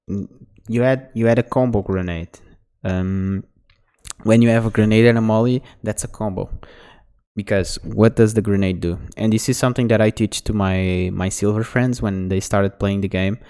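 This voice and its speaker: Male game coach, Portuguese native, low smooth voice, casual and instructive. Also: steady tone, moderate pace, dark tone. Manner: instructive, talks the viewer through mistakes. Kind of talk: casual demo review commentary.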